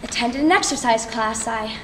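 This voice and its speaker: A young woman playing a flustered, insecure student making a halting, self-conscious speech on stage. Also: a little high, lightly acted. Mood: rambling. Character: flustered, insecure schoolgirl giving an unprepared speech. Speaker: student actor. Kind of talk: theatrical stage monologue. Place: theatre workshop performance space. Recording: echoey room from far off, faint noise.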